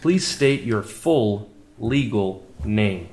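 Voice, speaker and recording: A man's voice: lowish voice, expressive, very slow, darkish tone, slight background noise. A man playing a formal, skeptical trial lawyer questioning witnesses in an audio drama. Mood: probing, formal.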